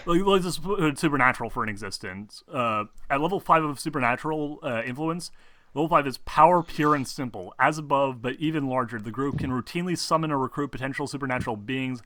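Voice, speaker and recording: A man with a bright, forward voice runs a tabletop role-playing game. He talks casually through the rules, often halting and stumbling as he reads, with casual swearing. The sound is close and dry, with almost no room to it. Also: medium pitch, dry recording. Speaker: game master.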